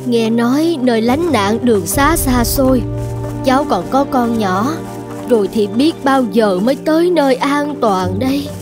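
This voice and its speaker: An actress playing a careworn, gentle but firm village woman in a cartoon folk tale, plain-spoken. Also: fairly high pitch, animated, measured pace, over music. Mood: worried.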